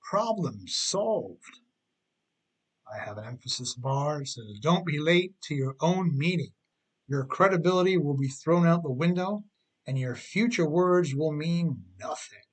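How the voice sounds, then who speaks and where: A man's voice: fairly high pitch, expressive, unhurried, slightly rough, low-quality recording. A middle-aged man reading his own humorous advice book as scripted narration, with an emphatic, tongue-in-cheek delivery.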